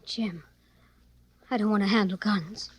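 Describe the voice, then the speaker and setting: An actress playing an anxious, pleading young moll of a gangster in a 1940s radio melodrama. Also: female voice, medium pitch, steady tone, unhurried, husky. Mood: fearful, pleading. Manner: plaintive, reluctant. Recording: faintly noisy 1940s radio recording.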